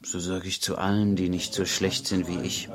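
A man's voice: low-pitched, little pitch movement, normal speed, crowd noise, natural voice. A man playing a weary, kindly tavern singer and sinner in a radio play, speaking in a subdued way. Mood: weary, gentle.

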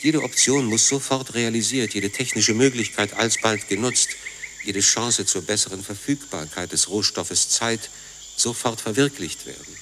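A man reading as a critical writer and doctor in a radio play, in a measured, intellectual manner. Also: fairly low pitch, background noise, lightly acted. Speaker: reader of a philosophical essay. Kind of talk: scripted essay reading in a radio drama.

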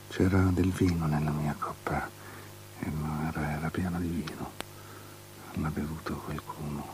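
A man's voice: very deep voice, a little mellow. An actor playing an anxious, superstitious king in an avant-garde radio drama, declaiming theatrically and sliding into whispers, on a noisy recording. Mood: dazed, foreboding. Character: anxious, superstitious king pleading and bargaining with a princess.

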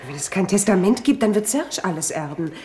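A woman's voice: medium pitch, lively intonation, fairly quick, dark tone, slight background noise, natural voice. An actress playing a nosy, petulant young woman who keeps pressing questions, in a 1970s radio play. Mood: pushy. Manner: pushy, inquisitive, petulant.

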